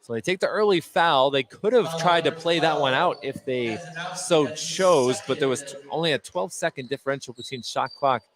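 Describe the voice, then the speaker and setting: A man's voice: a little high, moderate pace, crowd noise. A man doing live play-by-play radio commentary of a basketball game, with short clipped calls that rise on big plays. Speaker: play-by-play sports announcer. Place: at a basketball game, gym noise behind. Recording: clearly noisy live game broadcast.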